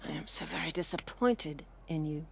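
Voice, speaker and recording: Cold, low-voiced female commander. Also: creaky, slight background noise. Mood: icy menace. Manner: measured, condescending, quietly threatening. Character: cold, menacing female government commander, middle-aged. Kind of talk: video game cutscene acting.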